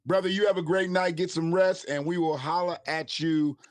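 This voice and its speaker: A man's voice: a little high, flat delivery, normal speed. A man co-hosting a live stream, chatting in laid-back, profane slang and agreeing with his partner. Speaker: co-host. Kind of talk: casual live stream chat.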